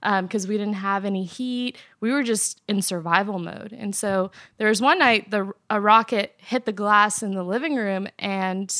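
A young woman from Afghanistan, guest in an interview, telling her life story in an earnest, steady way. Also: mid-range voice, moderate pace, hardly any pauses, no echo, studio quality. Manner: earnest, steady, soft laughs. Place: apartment in Nashville.

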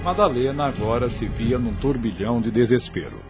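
A man narrates a radio drama in a solemn, literary manner. His voice sits at a medium pitch, with music clearly playing behind it. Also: normal speed.

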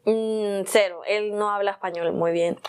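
A young woman talks easily as an interview guest. She tells her story in an unhurried, chatty way, with plenty of small fillers. Her voice sits at a middle pitch. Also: fairly even intonation, a little mellow, smooth. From Venezuela. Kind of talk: casual conversational interview.

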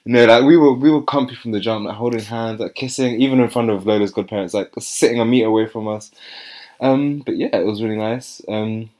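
A young man, chatting casually as a podcast co-host and telling stories with lively quoted voices. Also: medium pitch, fairly quick. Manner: chatty storytelling, animated when quoting others. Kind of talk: casual chat.

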